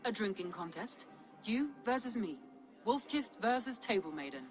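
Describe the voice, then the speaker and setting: A woman's voice: medium pitch, big pitch swings, normal speed, soft background music, close to an everyday voice. An actress playing a bold, playful Viking woman, confident and hearty, in a video game drama. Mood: boastful, playful. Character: Randvi, spirited bold Viking woman, teasing and adventurous.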